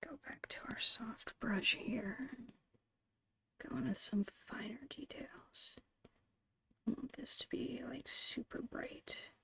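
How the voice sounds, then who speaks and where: A woman murmuring casual ASMR painting commentary in a whispery, creaky voice, unhurried and thinking aloud, close to the mic. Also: fairly high pitch, sharp tone, rough recording. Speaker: ASMR digital art streamer. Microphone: close microphone, dry.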